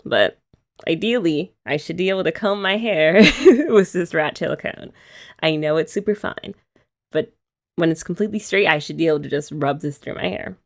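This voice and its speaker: A young woman with a lowish voice and a gravelly edge talks through an ASMR girlfriend roleplay. She explains each step in a relaxed, chatty way, with playful teasing mixed in. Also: moderate pace. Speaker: ASMR creator.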